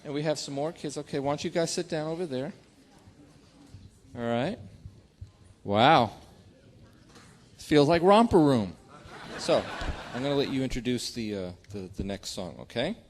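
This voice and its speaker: A man's voice: medium pitch, lively intonation, normal speed, pauses often, a little hiss or hum. A man hosting a live stage show in a casual, conversational style. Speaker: show host. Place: theater stage before an audience.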